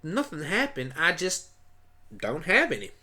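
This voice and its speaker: A woman voicing an eager, excitable young farm pony with a folksy way of talking in a fan radio play. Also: low-pitched, a little slow, pitched above the performer's own voice, exaggerated voice. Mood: plain, earnest.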